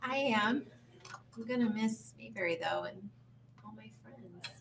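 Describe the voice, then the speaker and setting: A woman's voice: on the high side, measured pace, creaky, fairly bright tone, a little hiss or hum. A woman playing a friendly, slightly unsure small-town woman in a sitcom table read, in a plain, understated way. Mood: hesitant, wistful.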